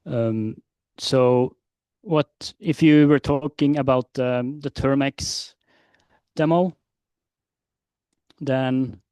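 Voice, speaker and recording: A man presenting at a conference, answering questions in a measured, hesitant way. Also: mid-range voice, a little mellow, no room sound. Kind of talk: conference Q&A explanation. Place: conference session room.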